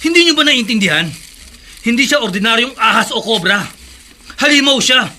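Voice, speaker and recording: Horror radio: desperate young hero, raised pitch, piercingly tense, urgent. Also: male voice, lively intonation, moderate pace, exaggerated voice. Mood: desperate, insistent. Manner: urgent, forceful. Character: desperate, determined young man hunting a monster. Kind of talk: horror radio drama acting.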